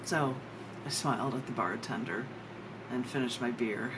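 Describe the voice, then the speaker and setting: A woman with an American accent giving a live poetry reading, with theatrical, drawn-out emphasis on her words. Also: a bass voice. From United States. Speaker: poet. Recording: clear background noise.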